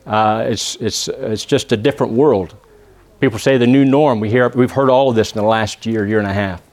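A man from Texas with a Texan accent, preaching a church sermon and praying, often pausing with "uh" and asking the congregation for an "amen". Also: on the low side, fairly even intonation, a little mellow. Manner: peppers phrases with "amen?" asking the congregation to answer; halting, with many "uh" pauses and restarts. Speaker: visiting preacher.

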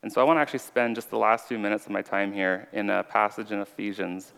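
A man's voice: on the low side, steady tone, fast, creaky, fairly bright tone, smooth. A man giving an earnest, conversational sermon as an informal preacher with a slight stutter. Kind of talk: conversational sermon with personal testimony. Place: large reverberant room. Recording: reverberant hall.

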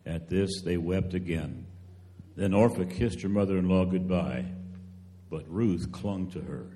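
In a long, reverberant hall, a man reads scripture as a narrator. His voice is very low and dark, and he tells the story in a measured, solemn way. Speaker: narrator in church drama team. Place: large church hall, live reading.

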